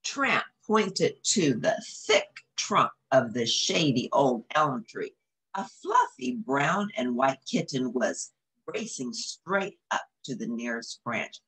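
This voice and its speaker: A woman's voice: a bass voice, big pitch swings, slow pace, fairly bright tone, husky, low-quality recording. A middle-aged woman reading a children's story aloud, with asides to young listeners. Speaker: storybook reader. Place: small indoor room.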